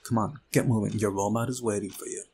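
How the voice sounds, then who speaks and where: A man's voice: fairly low pitch, fairly even intonation, fast talker, noisy background, close to an everyday voice. Man playing a curt, busy young female librarian. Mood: curt, impatient. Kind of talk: visual novel character voice.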